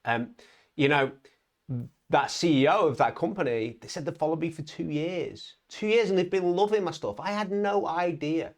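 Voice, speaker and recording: A man's voice: on the high side, moderate pace. A young man giving a casual motivational talk to his viewers, emphatic, with frequent "right?" tags and stumbling restarts. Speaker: vlogger on online business.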